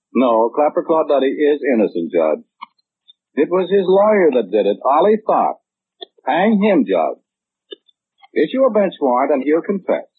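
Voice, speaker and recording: A man's voice: mid-range voice, slightly rough, no echo. An actor playing a blandly self-assured know-it-all man in a 1940s radio drama, speaking matter-of-factly with fussy, precise wording on a muffled old broadcast.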